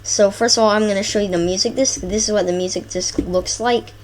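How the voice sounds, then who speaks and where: A woman with a stuffy nose from a cold, chatting excitedly through a gameplay video, rambling through lists with fillers. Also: mid-range voice, a little fast, a little hiss or hum. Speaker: gaming channel host. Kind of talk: casual gameplay commentary.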